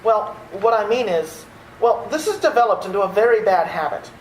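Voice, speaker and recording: A woman's voice: mid-range voice, lightly acted. Lady doing a harried nephew guy, radio drama, stumbling over words, mid voice, echoey. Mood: exasperated. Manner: halting, harried, repeating himself. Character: flustered, exasperated nephew, a harried theater critic. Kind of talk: old-time radio theater acting. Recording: echoey, faintly noisy stage recording.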